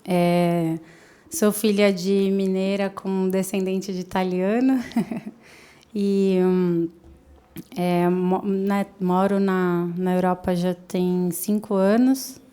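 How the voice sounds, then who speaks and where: A woman's voice: medium pitch, little pitch movement, slow, dark tone, smooth. A woman in her late thirties with a São Paulo accent, chatting as a podcast guest, laughing often and trailing off with fillers. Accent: São Paulo Brazilian Portuguese. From São Paulo, Brazil. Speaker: podcast guest, marketing professional. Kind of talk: casual interview chat.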